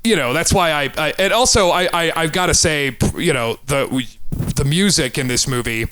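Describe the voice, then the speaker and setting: A man's voice: fairly high pitch, moderate pace, bright, forceful tone, a little hiss or hum. A man co-hosting a podcast, talking about film in a casual, rambling way with plenty of swearing. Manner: profane, rambling delivery. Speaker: podcast co-host.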